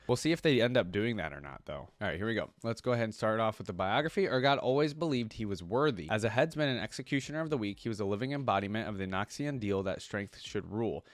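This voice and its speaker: A man's voice: fairly low pitch, no echo. A man making a reaction video, switching between reading lore aloud and informal, musing commentary. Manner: informal, pausing to explain and muse.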